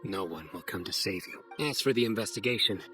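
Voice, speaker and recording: An actor playing a stern, composed general and judge in a video game, speaking in a measured, solemn, accusing manner. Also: male voice, fairly low pitch, lively intonation, sharp tone, faint music behind. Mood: cold, accusing. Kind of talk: dramatic video game acting.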